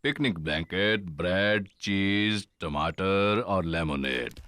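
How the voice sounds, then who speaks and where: A man's voice: deep voice, expressive, takes it slowly, fairly bright tone, slight background noise, exaggerated voice. A man voicing a jolly, teasing father pig in a children's cartoon dub.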